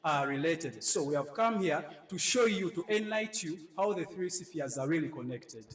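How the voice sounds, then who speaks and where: A man's voice: fairly high pitch, expressive, moderate pace. Young man, earnest and echoey. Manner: earnest, rises to loud declaiming in his speech. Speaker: head boy, student speaker.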